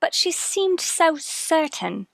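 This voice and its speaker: An American actress playing an anxious, devoted young wife in an old radio melodrama, earnest and plaintive. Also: female voice, very high-pitched, sing-song, slow, vocal fry, a bit bright, dry recording, heavily acted. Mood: worried. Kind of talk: mid-century radio melodrama acting.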